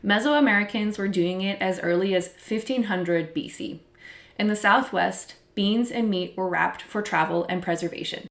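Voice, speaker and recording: A woman's voice: medium pitch, moderate pace, vocal fry. A young adult woman hosting a solo podcast, chatty, with self-deprecating asides. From United States. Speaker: podcast host.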